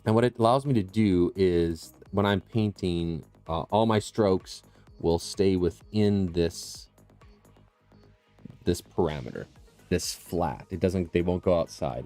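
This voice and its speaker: A low-voiced man casually hosting a painting livestream, rambling and restarting his sentences as he works. He talks close to the mic, and faint music plays behind him. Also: animated, slow pace, a little mellow. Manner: rambling, self-interrupting, explains as he works. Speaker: livestream host and digital painter. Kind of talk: casual live-stream chat while painting. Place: small room with tile floors. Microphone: close condenser mic with processing.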